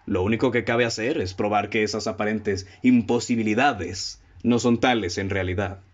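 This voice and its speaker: A Mexican man acting in a student radio drama as a self-assured, methodical amateur detective who speaks in a formal, deliberate, theatrical way. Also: on the low side, steady tone, close to an everyday voice. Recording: dull, muffled lo-fi audio.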